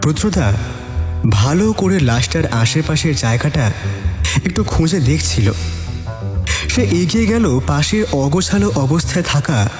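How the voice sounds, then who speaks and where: Expressive male storyteller, echoing voice. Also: a little high, measured pace, long pauses. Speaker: narrator. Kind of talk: dramatic scripted first-person story narration. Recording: reverberant voice with added echo. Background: faint music.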